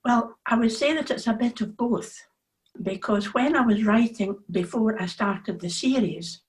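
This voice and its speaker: A woman's voice: fairly high pitch, unhurried. Scottish woman author in conversation. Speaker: author being interviewed. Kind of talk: casual interview chat.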